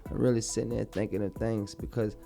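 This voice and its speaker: A young man speaks in a laid-back, rambling way, often circling back on his own words. His voice has a gravelly, creaky edge. Faint music plays behind him. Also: on the low side, level tone, fast, warm tone. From Baltimore, born in Dallas. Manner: laid-back, repeats himself a lot. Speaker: rapper guest. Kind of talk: casual interview talk.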